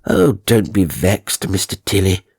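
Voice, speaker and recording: A man's voice: low voice, animated, unhurried, darkish tone, breathy edge, no room sound, studio quality, exaggerated voice. A man voicing a wheedling, fraudulent female spirit medium, gushing and coaxing, in a ghost story. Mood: placating. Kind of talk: dramatised audiobook ghost story.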